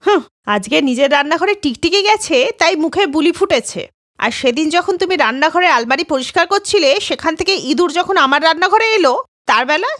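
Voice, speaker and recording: Feisty young housewife for a cartoon, female actor, high and highly animated. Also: brisk, no room sound, clean studio sound. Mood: indignant, sarcastic.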